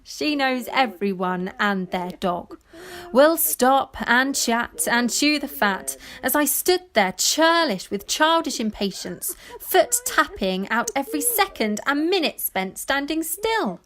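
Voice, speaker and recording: Lyrical, higher-voiced granddaughter narrator. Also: female voice, big pitch swings, takes it slowly, fairly bright tone, heavily acted. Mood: fond recollection. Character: wistful granddaughter narrating family memories of fishing village life. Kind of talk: poetic scripted narration.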